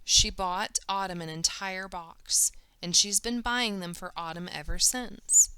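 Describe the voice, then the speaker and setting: A woman's voice: fairly low pitch, pushed and bright, clear, resonant tone, dry recording, low-quality recording. A woman teacher reading a children's novel aloud, careful and emphatic, doing voices for the characters.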